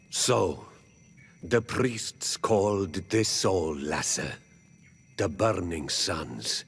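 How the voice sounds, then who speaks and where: A man voicing a weary, stoic native village chief in a video game, with a husky voice and a grave, halting delivery. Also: low-pitched, heavily acted. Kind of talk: video game dialogue acting.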